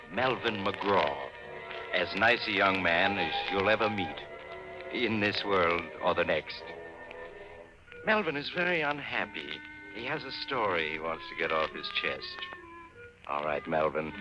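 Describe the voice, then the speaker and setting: A man's voice: low voice, measured pace, music in the background. An actor playing a sly, mysterious traveler, a man hosting spooky tales in a suave, teasing 1950s radio manner. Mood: teasing, macabre. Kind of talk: 1950s radio horror host narration. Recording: dull 1950s radio recording.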